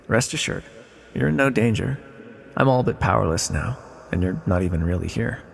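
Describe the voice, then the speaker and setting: A man playing an ancient exiled villain, a weary, teasing magical being, musing slowly and wryly in an ASMR roleplay. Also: lowish voice, gravelly, slightly rough, full character voice. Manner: slow, musing, wry, theatrical. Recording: long cavernous reverb.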